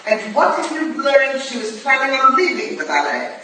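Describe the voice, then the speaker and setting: A woman playing a stern investigator in a staged period mystery, questioning in a commanding, probing, deliberate manner. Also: high voice, very animated, sharp tone, full character voice. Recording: echoey hall from far off, faint noise.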